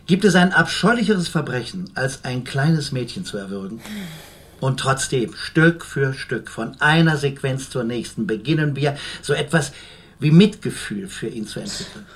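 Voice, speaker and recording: An actor playing an older, eminent defence lawyer in a radio crime drama, speaking in a measured, rhetorical way. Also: male voice, medium pitch, lively intonation, fairly bright tone, natural voice. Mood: grave, reflective. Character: eminent male defence lawyer, humane, principled, eloquent. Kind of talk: German radio drama acting.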